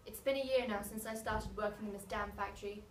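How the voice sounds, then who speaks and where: A woman's voice: medium pitch, fairly even intonation, a little fast, natural voice. Young wartime wife and factory girl played by a woman, pointed delivery, distant echoing sound. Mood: bitter, angry. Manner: declamatory, pointed. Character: soldier's young wife, bitter munitions factory worker. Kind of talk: dramatic slam poetry recital. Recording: noisy, echoey room, mic far off.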